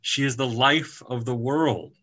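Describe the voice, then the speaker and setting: A man voicing a wise, ancient female storybook turtle, speaking in solemn, measured, weighty phrases. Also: medium pitch, fairly even intonation, fairly bright tone. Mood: solemn, reassuring.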